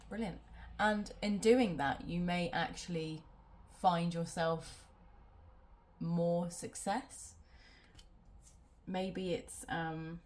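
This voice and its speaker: A woman's voice: fairly low pitch, darkish tone. A woman doing a casual tarot reading at home, sounding nasal from a cold and rambling with many ums. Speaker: tarot reader.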